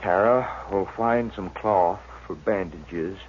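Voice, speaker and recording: A low-voiced frontier settler, weakened by fever and speaking haltingly. He is stoic, resigned and plain-spoken, in a 1950s radio drama with dull sound and faint noise. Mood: practical, strained. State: weak from fever, labored speech. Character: feverish, stoic wounded homesteader, a devoted father. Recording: dull, noisy old radio recording.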